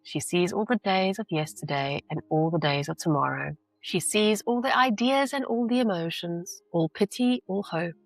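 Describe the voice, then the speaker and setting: A woman teacher reading a novel aloud with deliberate, emphatic phrasing and brief explanations. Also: on the low side, dry recording, poor audio.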